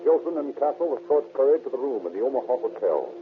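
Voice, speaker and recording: A man's voice: medium pitch, very animated, quick pace, slightly rough. Muffled vintage radio narrator, American man. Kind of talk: 1930s radio scripted narration. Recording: muffled old radio recording, narrow band. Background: music.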